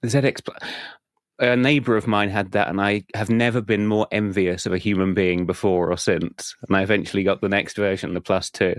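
A man hosting a software podcast, introducing the episode and then interviewing a guest in a casual chat. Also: lowish voice, steady tone, a little fast, dry recording, studio quality. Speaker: podcast host.

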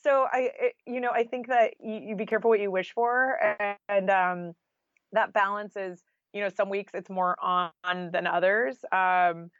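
A woman's voice: mid-range voice, fairly even intonation, moderate pace, fairly bright tone, dry recording, muffled recording, soft background music. A woman talking casually as an interview guest, chatty and anecdotal, with lots of conversational filler. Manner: chatty, anecdotal, with frequent "like" and "you know". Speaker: podcast guest, freelance journalist and author.

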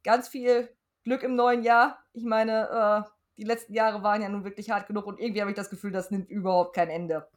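A woman's voice: mid-range voice, fairly even intonation, brisk. A woman co-hosting a podcast, chatting informally and wryly. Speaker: podcast co-host. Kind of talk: casual chat, podcast sign-off.

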